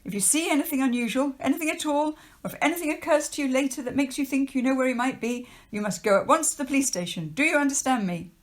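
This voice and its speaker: A woman acts out a stern, officious man from a children's storybook, hunting a runaway. The voice is raised high above her usual pitch, commanding and clipped, with a sinister, threatening edge. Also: quick pace, few pauses, close to an everyday voice. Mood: stern, demanding.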